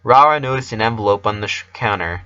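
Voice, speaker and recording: A man reading a scripted story as an amateur narrator, halting and stumbling a little over the text. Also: on the low side, lively intonation, pushed and bright, clean tone, dull audio.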